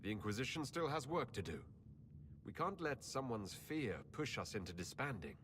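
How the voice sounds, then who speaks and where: A man's voice: medium pitch, sing-song, moderate pace, fairly bright tone, a little hiss or hum. A man playing a composed, courteous fantasy leader in a video game, speaking politely and directly. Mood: resolute. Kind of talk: video game cutscene acting.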